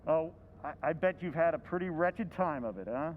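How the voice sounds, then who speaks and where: Guy doing a henpecked husband, chatty and curious, voice bouncing high, kinda dull echoey sound. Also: animated, normal speed, a little hiss or hum, natural voice. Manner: chatty, easily amused, curious. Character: harried henpecked husband, middle-aged man. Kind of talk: old-time radio drama acting.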